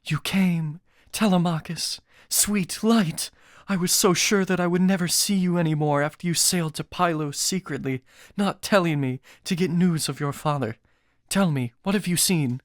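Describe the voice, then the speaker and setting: A man's voice: fairly high pitch, animated, normal speed, clean tone, dry recording, studio quality, voice pushed up, close to an everyday voice. A loving, wise queen performed by a male narrator speaks in a lifted voice. She is tearful and lamenting, with the formal speech of an ancient epic read aloud. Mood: tearful, relieved. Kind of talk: audiobook epic storytelling.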